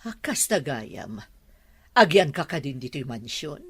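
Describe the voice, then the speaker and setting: Husky, dramatic suspicious older matriarch. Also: female voice, sits low, sing-song, unhurried, heavily acted. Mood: probing. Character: suspicious, stern wealthy older mother, probing matriarch. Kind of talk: Ilocano radio drama acting.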